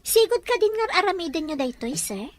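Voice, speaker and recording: A woman's voice: extremely high pitch, big pitch swings, normal speed, dry recording. A woman playing a polite, inquisitive young housemaid and devoted daughter in an audio drama. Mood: friendly, curious. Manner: polite, questioning.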